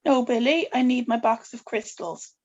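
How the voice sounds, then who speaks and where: Woman playing a high-pitched stern witch grandmother. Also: moderate pace, darkish tone, lo-fi sound, close to an everyday voice. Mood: brisk, impatient. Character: stern, evasive witch grandmother guarding family secrets. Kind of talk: table read of a TV pilot script.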